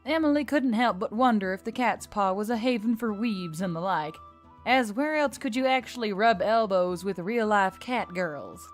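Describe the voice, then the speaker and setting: A woman's voice: mid-range voice, animated, normal speed. Woman narrating a story over music. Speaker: audiobook narrator. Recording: music behind the voice.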